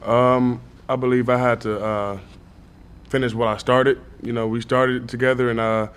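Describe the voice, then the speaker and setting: A man's voice: medium pitch, little pitch movement, normal speed, smooth. A young man, a college athlete, giving casual answers as an interview guest. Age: young adult. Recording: noticeable background noise.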